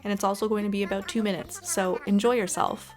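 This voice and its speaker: A woman's voice: mid-range voice, flat delivery, fast talker. Gamer girl doing chatty lets play commentary, friendly, talks right to viewers, bit of music behind her. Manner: friendly, addresses viewers directly. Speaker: gaming video host. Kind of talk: casual chatty game commentary.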